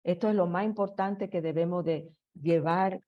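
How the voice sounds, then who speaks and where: A woman's voice: on the low side, steady tone, normal speed, lo-fi sound. A woman reading a prepared history presentation aloud, stumbling and restarting on long technical words. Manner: apologetic about her Spanish.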